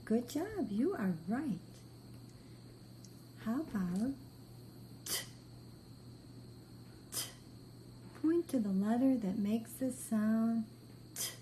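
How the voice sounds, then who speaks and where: Lady teacher doing phonics for little readers, really mellow voice, slow n patient, bit of background noise. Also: on the high side, animated, poor audio. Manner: patient, simple instructions with repeated praise. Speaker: reading teacher. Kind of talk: step-by-step phonics lesson for beginning readers.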